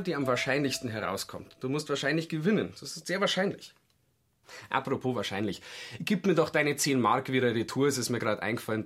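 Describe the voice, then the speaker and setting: Husky, glib sponging count. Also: male voice, medium pitch, a bit bright. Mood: wheedling. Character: shifty sponging count, boastful gambler and liar. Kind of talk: radio play acting.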